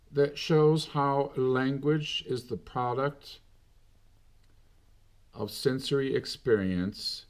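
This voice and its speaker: A middle-aged man delivering a slow, deliberate monologue with long pauses between phrases. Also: mid-range voice, darkish tone. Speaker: commentator. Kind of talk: unscripted monologue.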